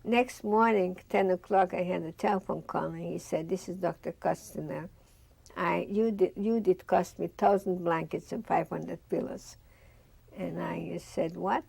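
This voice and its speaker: A woman's voice: on the low side, fairly even intonation, measured pace, vocal fry, a little mellow, breathy edge, dry recording. An older woman from Bessarabia with a Russian accent, telling her life story in a seated studio interview and acting out the dialogue she remembers. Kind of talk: oral history interview. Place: studio with dark curtain backdrop, seated.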